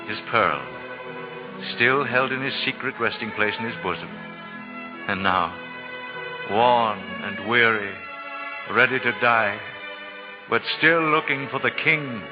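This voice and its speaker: Solemn male radio narrator over loud music. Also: fairly low pitch. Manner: solemn, theatrical storytelling. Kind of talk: dramatic scripted 1940s radio narration. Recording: muffled old radio, loud music behind.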